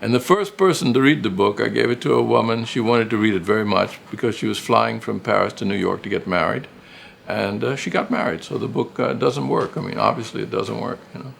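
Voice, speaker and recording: A man's voice: fairly low pitch, fairly quick, vocal fry, a bit bright, a little hiss or hum. An older man, a retired professor and writer, reminiscing casually in an interview, rambling and repeating phrases for emphasis.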